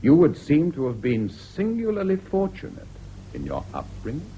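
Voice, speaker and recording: An actor playing a grave, disapproving English judge in a formal, measured radio drama style, with a British accent. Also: male voice, on the high side, wildly swooping pitch. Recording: dull lo-fi old radio recording, background noise.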